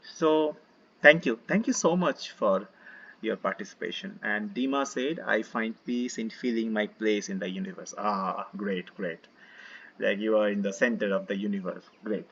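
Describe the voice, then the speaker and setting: Man, Indian accent, gentle meditation teacher, husky tone, muffled voice-chat sound. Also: fairly low pitch, moderate pace. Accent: Indian English. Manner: relaxed, gentle, many fillers, invites listeners to join in. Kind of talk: casual online session talk.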